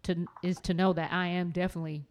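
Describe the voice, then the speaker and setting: A woman's voice: fairly low pitch, little pitch movement, fast, a little mellow, dull audio. A woman panel guest speaking firmly and with self-assurance about her own experience. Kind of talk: personal storytelling in conversation.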